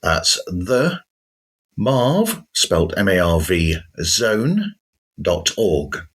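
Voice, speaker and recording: A man hosting a podcast monologue, giving his opinions in a conversational, emphatic way with a husky voice. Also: deep voice, sing-song, drawn-out words. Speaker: podcast host. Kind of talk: podcast monologue, part scripted, reviewing other shows.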